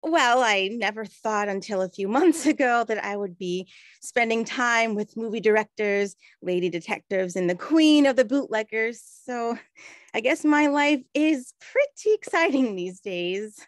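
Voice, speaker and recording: An earnest, proper 1920s woman played by a female actor, with a voice a little on the high side. The sound is dry, and she explains things carefully in audio drama style. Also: animated, a little slow, no room sound. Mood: modest, amused.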